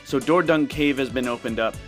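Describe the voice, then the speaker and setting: A man's voice: medium pitch, fairly quick, faint music behind. A man giving casual, step-by-step walkthrough commentary as the host of a gameplay video. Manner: instructional, step-by-step.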